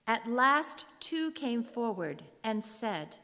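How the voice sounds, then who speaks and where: A woman serves as narrator for a readers' theater of scripture. Her voice is rather high and her pace very slow, with deliberate phrasing. A long hall reverb follows her words. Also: sing-song, bright, forceful tone.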